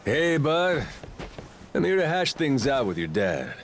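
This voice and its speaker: A man's voice: mid-range voice, very animated, a little slow, slight background noise. An actor playing a brash, cocky but sympathetic roguish man in a video game. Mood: easygoing.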